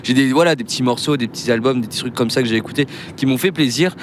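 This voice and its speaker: A man's voice: mid-range voice, rapid-fire, a little hiss or hum. A young man chatting casually on a podcast, rambling and interrupting himself as he tells a story from inside a moving car. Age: young adult. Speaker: podcast co-host. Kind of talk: casual chat.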